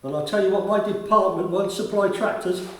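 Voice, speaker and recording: An actor playing a kindly, talkative school attendance officer in a radio play, with polite and formal manners. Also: male voice, high voice, fairly even intonation, a little fast, a bit bright. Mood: wry complaint. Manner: courteous, formal address, talkative. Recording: very echoey room, distant from the mic.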